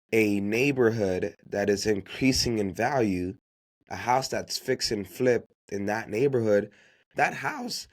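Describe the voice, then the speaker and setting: A man's voice: medium pitch, darkish tone. A man who grew up speaking Haitian Creole gives unscripted motivational business advice as a podcast host, emphatically repeating key phrases and posing rhetorical questions.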